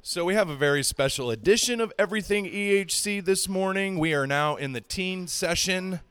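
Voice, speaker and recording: A man hosting a podcast, giving an upbeat, energetic introduction. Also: high voice, normal speed, clear, resonant tone, faint music behind. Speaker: podcast host.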